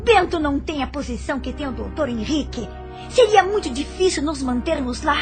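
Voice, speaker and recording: A woman's voice: sits high, lively intonation, fairly quick, soft background music. A Brazilian woman playing an indignant young niece in an audio novel, with a theatrical, protesting delivery. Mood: bitter, challenging. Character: indignant young niece resisting an arranged marriage.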